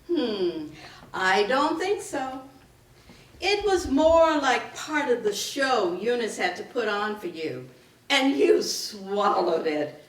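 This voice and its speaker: Actress as bitter grieving daughter, slow and swooping. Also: female voice, fairly high pitch, big pitch swings. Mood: mocking, sarcastic. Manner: biting sarcasm, emphatic dramatic delivery. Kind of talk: staged dramatic reading. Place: indoor live reading at a public library. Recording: echoey room, picked up from a distance.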